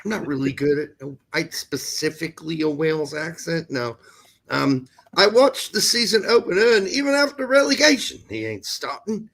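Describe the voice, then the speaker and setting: A man's voice: a little high, animated, normal speed, fairly bright tone. A man with an American accent chatting casually about sports as a livestream co-host, blunt and opinionated.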